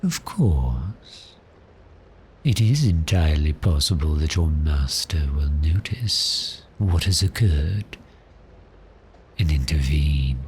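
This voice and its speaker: A man voicing a suave, teasing vampire in an ASMR roleplay, speaking in a breathy, seductive half-whisper with polite menace. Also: a bass voice, animated, slow, a little mellow, slight background noise, heavily acted. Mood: teasing, menacing. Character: cheerful predatory male vampire, suave and teasing. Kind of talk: ASMR roleplay, slow intimate monologue.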